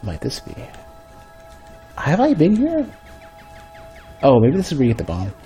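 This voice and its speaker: A male streamer with a deep, bass-heavy voice, a bit stuffy, chatting casually and thinking aloud while he plays. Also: wildly swooping pitch, a little slow, dark tone, faint music behind. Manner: rambling, thinking aloud with restarts. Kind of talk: casual gameplay commentary. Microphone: desk microphone.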